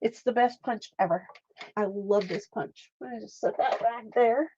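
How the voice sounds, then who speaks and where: A woman's voice: a little high, normal speed, dark tone, lo-fi sound, a little hiss or hum. A woman hosting a casual live art stream, chatting and laughing with viewers as she works, with a slight Canadian accent. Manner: chatty, giggly, talks to viewers while crafting.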